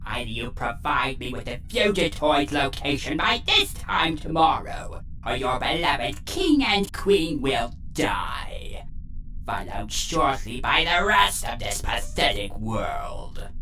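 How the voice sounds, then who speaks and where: Villain role: a menacing alien general, wildly swinging pitch, imperious bellow, echoing sound. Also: very animated, takes it slowly, bright, forceful tone, a little hiss or hum, exaggerated voice. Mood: threatening.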